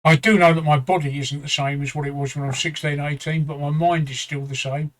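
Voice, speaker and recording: A man's voice: on the high side, steady tone, fairly quick. A man in his fifties hosting a live stream, talking bluntly and rambling through personal stories with some swearing. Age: 50s. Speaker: streamer host. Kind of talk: casual chat.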